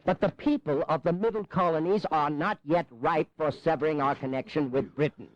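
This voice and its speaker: In an audio drama, a man acts as a cautious colonial statesman. His voice sits a little high, and he pleads earnestly in formal, careful oratory. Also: a little fast, no echo, lo-fi sound, natural voice. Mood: earnest, pleading. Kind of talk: dramatized historical audio acting.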